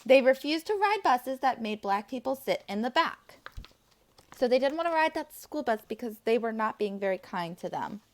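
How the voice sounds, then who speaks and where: A woman with a fairly high, very animated voice reads a picture book to preschool children. She speaks as an enthusiastic teacher, breaking off from the text to talk directly to her young friends. Her intonation swings widely throughout. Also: moderate pace. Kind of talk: children's read-aloud with asides to the listeners.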